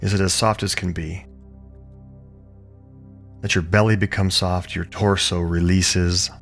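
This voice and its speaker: A man with a low voice leads a guided yoga meditation. He is calm and soothing, giving gentle instructions in measured phrases with space between them. Faint music plays behind his voice. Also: vocal fry. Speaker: yoga and meditation teacher leading a guided practice.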